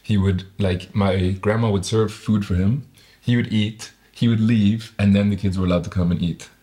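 A man with a low voice holds a casual interview conversation. He talks in a reflective, halting way, often circling back to rephrase what he has started. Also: fairly even intonation, warm tone. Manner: reflective, halting, self-correcting.

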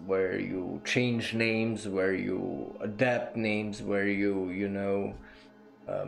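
A man with a low, gravelly voice talks through history at an unhurried pace, circling back with fillers and false starts and swearing now and then. Faint music plays underneath as he hosts his podcast. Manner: rambling, many fillers and restarts, blunt swearing. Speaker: podcast host. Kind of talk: casual history talk.

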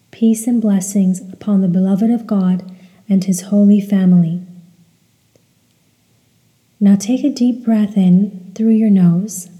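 A woman leading a guided meditation in a measured, deliberate, instructional way, with some recitation. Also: medium pitch, warm tone. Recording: long hall-like reverb.

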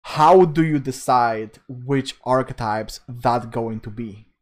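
A man hosting a gaming podcast, moving briskly into segments and posing questions to guests. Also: medium pitch. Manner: brisk, segment-introducing host. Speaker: podcast host.

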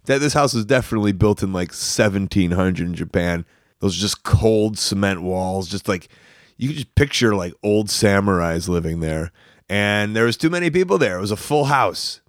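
A man's voice: fairly low pitch, dry recording, studio quality. A man hosting a travel podcast, chatting casually and teasingly on the go, rambling with restarts. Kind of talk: casual on-the-go chat. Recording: street and traffic noise behind.

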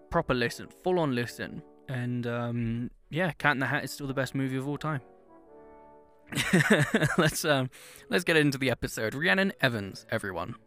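A man's voice: a little high, bright, forceful tone. A young man hosting a podcast, talking in a chatty, self-deprecating way with lots of fillers, recorded on his own microphone at home. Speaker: podcast host. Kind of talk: casual podcast chat. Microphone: headphones monitored through his own microphone.

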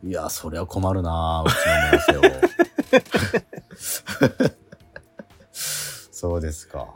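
A man hosting a radio show, chatting in a relaxed way with lots of quick backchannels. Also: deep voice, breathy edge, soft background music. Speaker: radio host. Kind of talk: casual chat.

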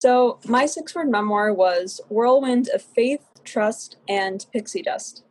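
A woman from New York City co-hosting a podcast, chatty and tossing in quick asides. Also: on the high side, darkish tone. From New York City, USA. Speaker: podcast co-host.

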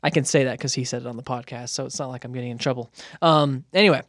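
An American man hosts a podcast in a loose, conversational style. His voice sits at a middling male pitch, and the recording is dry, with little room sound. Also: fast talker, fairly bright tone, dry recording. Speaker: podcast host and interviewer. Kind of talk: casual podcast chat.

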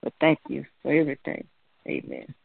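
Caller voicing prayer requests: gravelly man, plain and heartfelt, dry phone sound. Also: medium pitch, huge pitch swings, brisk, no echo. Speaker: church member. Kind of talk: prayer requests on a church conference call. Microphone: telephone. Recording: tinny, dry phone line.

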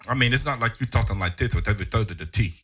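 A man's voice: medium pitch, fairly bright tone, husky. A man co-hosting a casual podcast chat, teasing and joking with his friends. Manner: teasing, drawn-out talk. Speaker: podcast co-host.

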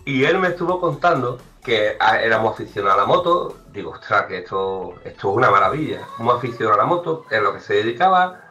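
A man telling an anecdote in an animated way, acting out the lines of dialogue as he goes. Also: lowish voice, fast, few pauses. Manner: animated storyteller who acts out dialogue. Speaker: guest. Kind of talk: casual anecdote. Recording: slightly dull lo-fi sound.

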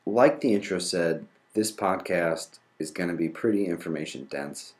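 A man's voice: deep voice, normal speed. A man hosting a podcast and explaining basics step by step, congested from a cold. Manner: methodical, defines terms step by step. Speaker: podcast host.

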